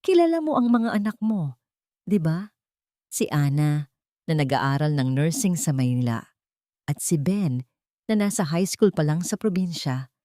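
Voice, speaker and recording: A woman's voice: deep voice, very animated, unhurried, pauses often, warm tone, dry recording, clean studio sound. A woman voicing a cold, falsely polite, menacing wealthy female villain in an audio story. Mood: menacing threat. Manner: venomous, controlled, threatening.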